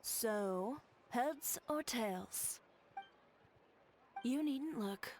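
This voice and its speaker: Game character, perceptive female tailor: higher voice, animated intonation, polite and gently persuasive. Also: very slow, slight background noise. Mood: encouraging, assured. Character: poised, perceptive woman tailor, gently coaxing a customer. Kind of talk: scripted video game character dialogue.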